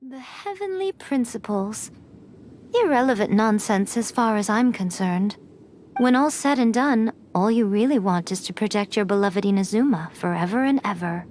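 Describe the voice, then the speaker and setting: A woman's voice: mid-range voice, lively intonation, moderate pace, a little mellow, a little hiss or hum, close to an everyday voice. A woman playing a poised, challenging figure of mystic authority in a video game, with a probing, pointed delivery. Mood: dismissive, probing. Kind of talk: dramatic video game acting.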